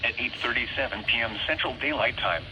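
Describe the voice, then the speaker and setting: A synthetic man's voice reads formal scripted weather warnings over weather radio in a measured, impersonal way. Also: medium pitch. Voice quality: synthetic text-to-speech voice. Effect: weather radio broadcast. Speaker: automated weather radio announcer. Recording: noisy weather radio picked up in room.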